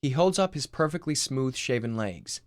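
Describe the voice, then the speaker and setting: A man's voice: mid-range voice, lively intonation, moderate pace, dry recording. Man narrating a script, dry studio sound. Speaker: screenplay reader. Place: recording studio.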